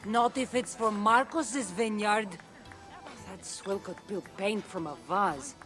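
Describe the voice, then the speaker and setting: A woman's voice: medium pitch, slow, fairly bright tone, faint music behind. A woman playing a confident, playful Greek warrior adventurer in a video game, with a Greek accent. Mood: tipsy, playful. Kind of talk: video game cutscene dialogue.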